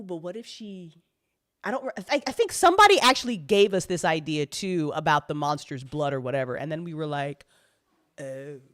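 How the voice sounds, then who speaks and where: Rambling, sweary speaker in animated casual chat. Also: medium pitch. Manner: rambling, sweary, slangy, dramatic retelling. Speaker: audio drama writer.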